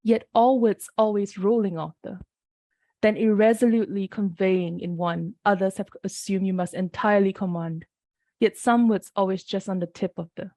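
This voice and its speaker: A woman from Singapore reading her poems aloud and briefly introducing each one, sounding a little rough from the early hour. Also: medium pitch, fairly even intonation, dark tone, dry recording. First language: Mandarin. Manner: measured, unhurried reading with brief spoken introductions. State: slightly off-sounding early-morning voice. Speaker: poet reading her work. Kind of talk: poetry reading.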